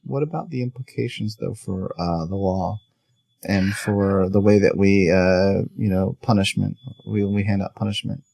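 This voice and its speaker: A man's voice: deep voice, moderate pace, creaky, darkish tone, dry recording. Man hosting a podcast, close dry sound. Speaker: podcast host. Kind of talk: casual conversational interview.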